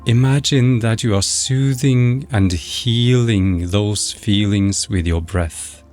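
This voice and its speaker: A man narrating a guided meditation in a measured, instructional, scripted way. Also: lowish voice, darkish tone. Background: background music.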